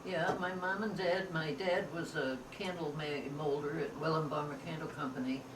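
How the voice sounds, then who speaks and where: A woman's voice: deep voice, fairly even intonation, moderate pace. A ninety-year-old American woman recalling family history in informal talk, piecing memories together as she goes. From upstate New York, United States. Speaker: interviewee, family elder. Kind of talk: informal oral history recollection. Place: echoey room, away from the microphone. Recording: echoey, distant, clear background noise.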